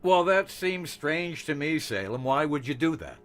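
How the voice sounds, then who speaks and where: A man playing a smoothly bureaucratic, unflappable boss in an audio drama, measured and matter-of-fact. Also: on the high side, big pitch swings, a bit bright. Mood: measured, probing. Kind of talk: noir audio drama acting. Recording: music bed under voice.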